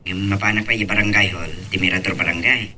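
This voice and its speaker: A man playing a brisk, friendly young man in an Ilocano audio drama. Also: low voice, steady tone, bright, forceful tone, a little hiss or hum. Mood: casual, friendly.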